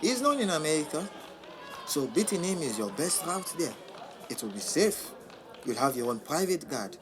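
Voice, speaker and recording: An actor playing a calm, money-minded boxing manager who talks smoothly and reassuringly in an audio drama. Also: male voice, fairly high pitch, normal speed, over music, crowd noise. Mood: persuasive. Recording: clear background noise.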